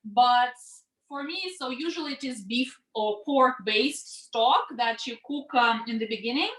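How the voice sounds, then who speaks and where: Home cook, woman with Russian accent, fairly high-pitched and bright, chatty and explanatory, casual demo delivery. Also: unhurried. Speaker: home cook leading an online cooking class. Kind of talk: casual cooking demonstration. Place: indoors at home, cooking. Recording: roomy, echoey home sound.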